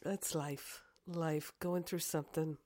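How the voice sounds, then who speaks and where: A woman with a croaky, hoarse, congested voice, speaking as a spiritual teacher in deliberate, affirming phrases. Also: low voice, fairly even intonation, darkish tone. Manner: deliberate, repetitive affirming phrases with long pauses. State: hoarse from sinus trouble. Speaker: spiritual teacher leading a prayer. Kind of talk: devotional guided prayer, then casual announcements.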